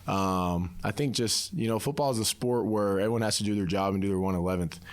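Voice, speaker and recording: A man's voice: fairly low pitch, a little fast. A young man, a college football player, answering casually in a post-practice interview, repeating himself with many "you knows". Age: young adult.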